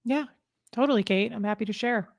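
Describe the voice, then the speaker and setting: A woman's voice: a little high, fairly quick, no room sound, clean studio sound. A woman guest on a live stream, answering questions in an agreeable, informal, chatty way. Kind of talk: casual chat, answering viewer questions.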